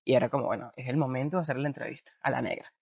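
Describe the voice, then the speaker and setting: A man's voice: mid-range voice, steady tone, rapid-fire, creaky, darkish tone, breathy edge, no room sound. Eager man interviewing, dry sound. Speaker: interviewer, host. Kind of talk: interview conversation.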